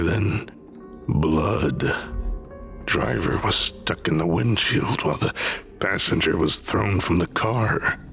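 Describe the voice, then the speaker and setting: Gruff older man, very low gravelly voice, slow and mournful, audio drama with music underneath. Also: fairly bright tone, exaggerated voice. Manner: slow, somber, deliberate. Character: grieving older man, gruff, weary haunted-house owner. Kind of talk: scripted audio drama acting.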